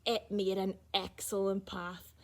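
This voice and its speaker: A woman's voice: medium pitch, little pitch movement, takes it slowly. A woman reading a children's picture book aloud as storyteller, with little asides to young listeners about the pictures.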